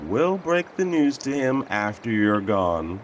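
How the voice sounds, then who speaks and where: A man's voice: mid-range voice, sing-song, slow, slight background noise. An actor playing a tough, teasing male combat trainer in an audio drama. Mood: firm, teasing.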